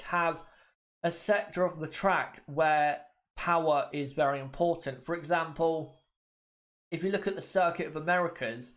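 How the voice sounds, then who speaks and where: A man with a bit of a cold, chatting casually to viewers as a livestream host and often repeating his points. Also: a little high. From United Kingdom. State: has a cold. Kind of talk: casual watchalong commentary.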